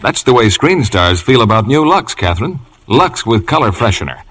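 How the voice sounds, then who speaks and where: A man's voice: mid-range voice, big pitch swings, normal speed, fairly bright tone. A man working as a radio announcer, reading a scripted 1950s advertisement.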